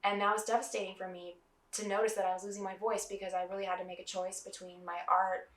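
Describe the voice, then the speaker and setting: Singer-songwriter woman, young, documentary interviewee; reflective, measured; echoey room. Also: medium pitch, level tone, fairly bright tone. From New Mexico. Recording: roomy, distant mic.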